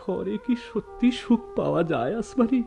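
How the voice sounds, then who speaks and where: Casting: shy, lovesick village poet, rural Bangladeshi accent, highish mellow voice, lyrical radio drama delivery. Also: male voice, sing-song, moderate pace, gravelly, soft background music, exaggerated voice. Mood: dreamy, lyrical. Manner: hesitant, lyrical.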